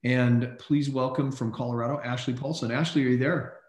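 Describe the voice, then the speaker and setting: A man's voice: mid-range voice, steady tone, brisk, a little mellow, roomy sound. A man hosting a group call, casually introducing and chatting. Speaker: host of a team call.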